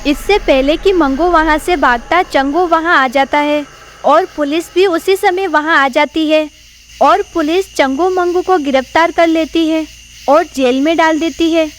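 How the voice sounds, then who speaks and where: Lady narrator for spooky cartoon story, scripted, high voice, bit of noise behind. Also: unhurried.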